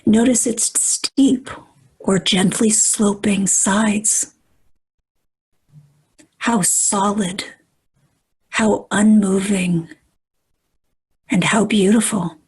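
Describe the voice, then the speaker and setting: A woman guiding a meditation in a soft, breathy voice, calm and soothing, with measured phrases and pauses. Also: medium pitch. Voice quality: soft, breathy, at times near whisper. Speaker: meditation guide. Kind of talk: guided meditation.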